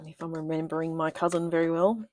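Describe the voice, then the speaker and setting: A woman hosts a casual craft live stream from home. Her voice sits a little low, and she is slightly sniffly. She chats easily, muses over her choices out loud, and laughs often. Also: level tone, quick pace, dry recording. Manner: chatty, thinking aloud, laughs often. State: sniffly from a cold.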